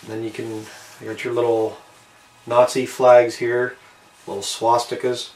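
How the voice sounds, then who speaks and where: A man giving a casual show-and-tell narration, unhurried and halting, often trailing off between short phrases. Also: mid-range voice, completely flat delivery, a little mellow. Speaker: amateur video maker showing a collectible. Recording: roomy, distant mic with faint background noise.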